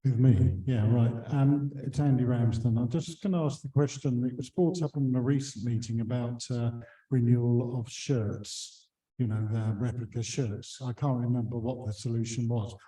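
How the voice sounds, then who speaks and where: A man's voice: mid-range voice, steady tone, moderate pace, dark tone. A man asking a question from the floor at a meeting, casual and a bit unsure. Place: meeting hall. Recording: echoey hall.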